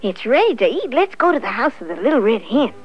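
A woman's voice: a little high, very animated, fast talker, slightly rough, pitched above the performer's own voice. A woman voicing a busy, unhelpful male cat in a children's story, full of dismissive excuses. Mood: tempted. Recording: dull lo-fi sound with faint music.

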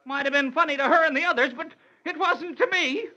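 An actor playing a harassed, excitable, folksy rural constable in a 1940s radio comedy sketch. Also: male voice, very high voice, fairly quick, exaggerated voice. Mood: aggrieved complaining. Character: harassed, exasperated male rural constable chasing a heifer. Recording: dull old radio recording, noisy.

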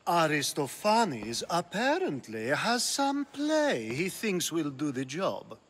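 Languid, swooping young hedonist. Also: male voice, on the high side, wildly swooping pitch, slow pace, a bit bright, husky, full character voice. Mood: teasing, playful. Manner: drawling, teasing. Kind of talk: video game cutscene acting.

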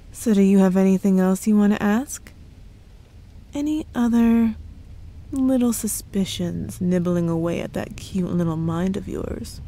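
A woman's voice: mid-range voice, mellow. A woman playing a playful, possessive witch girlfriend in an ASMR roleplay, teasing and coy with a sweetly threatening edge.

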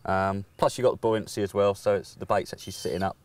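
A man in his thirties, a guest angler, explaining his tackle in a casual outdoor chat, often pausing with "um". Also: fairly low pitch, fairly even intonation, a little mellow, no echo. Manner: hesitant, many "um"s, explains plainly. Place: lakeside outdoors, seated.

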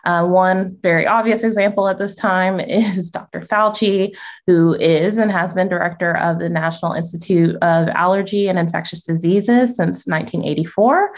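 A woman's voice: on the low side, fairly even intonation, moderate pace. A woman presenting over a video call, friendly and explanatory, with light laughs now and then. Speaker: conference presenter, associate director. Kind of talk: virtual conference presentation.

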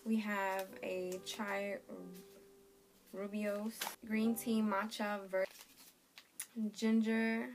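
A young woman in her twenties with a croaky, sore-throated voice, chatting casually to camera as she unboxes a subscription box in her bedroom. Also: mid-range voice, flat delivery, very slow, pauses often, faint music behind. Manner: chatty, reads product labels aloud. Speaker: beauty and lifestyle vlogger. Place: small bedroom in front of a red wall.

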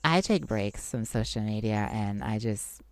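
A woman's voice: extremely low pitch, lively intonation, a little slow, dry recording. A woman hosting an improvised ASMR-style self-care podcast, talking in an informal, reassuring way. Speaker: podcast host.

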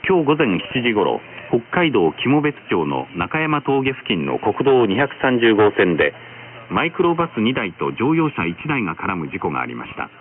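Over a noisy, narrow shortwave radio signal, a man reads the news in a formal, measured way. His voice sits fairly low and moves widely in pitch as he delivers the script. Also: big pitch swings. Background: radio static and noise.

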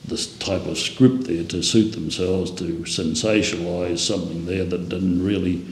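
A man's voice: sits low, flat delivery, moderate pace. An Australian man in his seventies, a veteran giving a rambling, colloquial, plain-spoken interview. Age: 70s. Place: indoor room, seated. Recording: echoey room, faint noise.

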